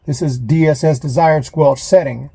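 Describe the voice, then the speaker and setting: A man giving a casual hands-on demonstration, talking in a halting way as he thinks aloud, recorded on a phone inside a van. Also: fairly high pitch, steady tone, dark tone, dry recording. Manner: halting, thinking aloud while handling equipment. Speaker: vlogger, amateur DIY installer. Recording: clear background noise, radio static and clicks. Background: CB radio static and knob clicks.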